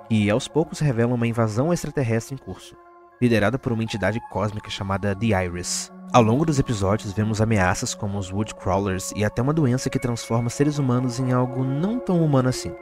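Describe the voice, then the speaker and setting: Brazilian man narrating over music. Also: mid-range voice. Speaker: video essay narrator. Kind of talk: scripted explainer narration. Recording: music bed under the voice. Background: background music.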